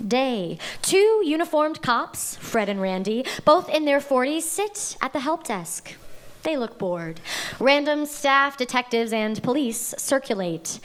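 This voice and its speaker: A woman reading the stage directions aloud at a live table read, steady and measured. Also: a little high. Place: live venue.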